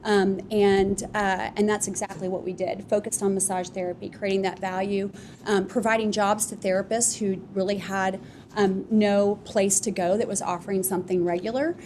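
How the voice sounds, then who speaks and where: A woman founder on a live podcast panel, telling her business story at length in a relaxed, rambling way with many fillers. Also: medium pitch, moderate pace, slight background noise. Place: office podcast studio with live audience.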